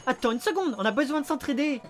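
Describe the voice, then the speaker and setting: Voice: high-pitched, animated, fast. A performer voicing a small talking creature, a cocky amnesiac detective with a sarcastic, dramatic, wisecracking manner, in a French film fan dub. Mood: pleading. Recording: music behind the voice.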